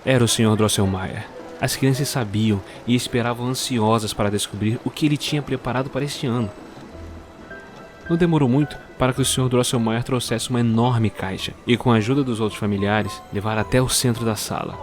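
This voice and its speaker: A man narrating a scripted storybook tale for an audio drama in a measured storyteller's manner. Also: medium pitch, steady tone, music in the background.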